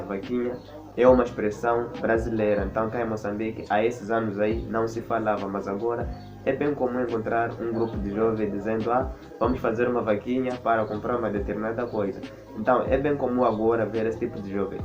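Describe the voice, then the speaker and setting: A man's voice: fairly low pitch, lo-fi sound. A Mozambican man speaking Portuguese with a Mozambican accent, explaining things in a casual vlog and often repeating words while he searches for the next one. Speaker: vlogger.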